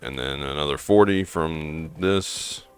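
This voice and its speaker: A hoarse man playing a game and thinking aloud in a rambling, casual way, with fillers and restarts. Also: very deep voice, measured pace, dry recording. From American Midwest. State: hoarse from allergies. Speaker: gaming streamer. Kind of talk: casual gameplay commentary.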